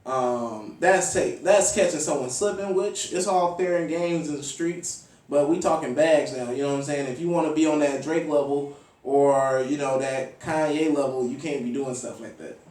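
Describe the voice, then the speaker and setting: A man's voice: fairly high pitch, moderate pace, darkish tone. A man talking as an informal, slangy interview host in casual hip-hop conversation. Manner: informal slangy, frequent "you know what I'm saying". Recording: roomy echo, distant from the mic.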